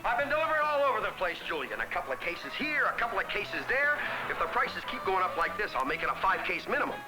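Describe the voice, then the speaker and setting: An actor playing a brisk, griping deliveryman in a 1970s educational film. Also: high voice, very animated, rough recording. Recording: 1970s film soundtrack with music.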